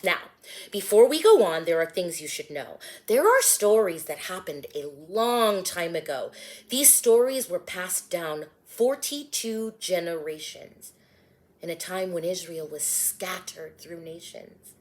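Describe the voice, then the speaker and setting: Woman storybook narrator, lowish voice, very lively pitch, sing-song rhymed reading. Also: measured pace. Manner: expressive, sing-song rhyming delivery. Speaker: author and storybook reader.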